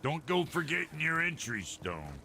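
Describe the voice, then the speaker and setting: Gruff dwarf blacksmith: forceful, cutting, hugely animated delivery, blunt and colloquial, fantasy game. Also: male voice, a little high, normal speed, slight background noise, exaggerated voice. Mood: bossy. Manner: folksy, brash, colloquial.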